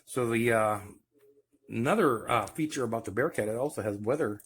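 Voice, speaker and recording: A man's voice: medium pitch, brisk. A man giving a casual, hands-on demonstration as the host of a home video. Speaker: host demonstrating CB radio gear. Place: at home by a radio base station. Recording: clear background noise, clicks.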